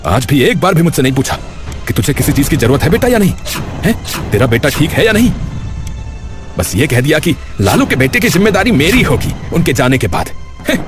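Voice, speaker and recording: A man's voice: fairly high pitch, big pitch swings, a little fast, long pauses, music in the background. A man playing a bitter, hot-tempered village father in an audio story, indignant and scolding. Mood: angry, resentful. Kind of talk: Hindi audio story drama.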